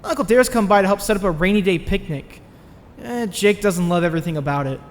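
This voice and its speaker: A man's voice: high voice, lively intonation, fast talker, big-room sound, slight background noise. A man playing an earnest, nerdy, anxious teenage boy in a dramatized comic dub. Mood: thoughtful, uneasy. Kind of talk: dramatized comic dub, dialogue and first-person narration.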